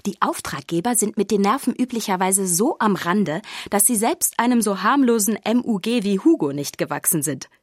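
An actress playing a chatty, easygoing castle maid who narrates a children's audio drama in a confiding, conversational way. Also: female voice, medium pitch, lively intonation, normal speed, few pauses, dry recording, clean studio sound, natural voice. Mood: explaining. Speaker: first-person narrator. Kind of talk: scripted storytelling for children.

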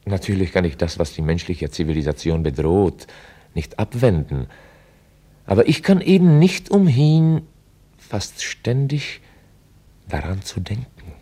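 A reflective novelist narrates in a very low, husky voice with a dark, mellow tone. The delivery is measured and deliberate, as scripted radio drama storytelling. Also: male voice, sing-song, exaggerated voice. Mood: reflective, concerned. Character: worried, reflective male novelist telling his story. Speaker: author-narrator.

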